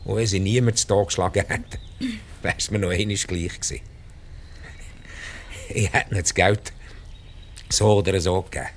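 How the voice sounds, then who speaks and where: A deep-voiced old Swiss man, rich, ruthless and ailing. He confesses in a halting, blunt, world-weary way, short of breath, over clearly noisy ambience in a radio play. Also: fairly even intonation. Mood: bitter, cold. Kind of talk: radio drama acting in Swiss-coloured German.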